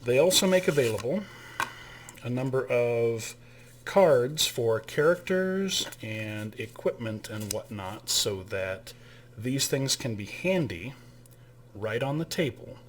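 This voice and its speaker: A man's voice: mid-range voice, animated, slow pace, a little hiss or hum. A man hosting his own channel, giving a casual spoken overview of a tabletop game kit, with frequent fillers and restarts. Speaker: channel host and game master.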